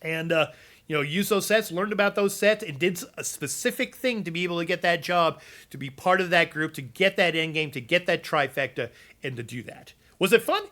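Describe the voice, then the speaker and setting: A man's voice: a little high, expressive, fairly quick, sharp tone. A man chatting casually about game builds, rambling with many fillers and restarts, and losing his voice. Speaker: gaming content creator.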